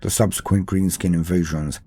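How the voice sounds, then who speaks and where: A man narrating a scripted fantasy history in a steady, dramatic storytelling manner. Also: sits low, moderate pace, husky. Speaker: lore narrator.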